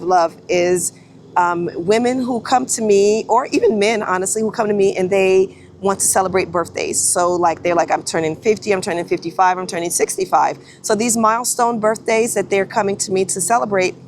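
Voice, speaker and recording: A woman's voice: lowish voice, slight background noise. A woman in her forties, a photographer vlogging in a casual, chatty way, often correcting herself and restarting sentences. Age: 40s. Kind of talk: casual talking-head vlog.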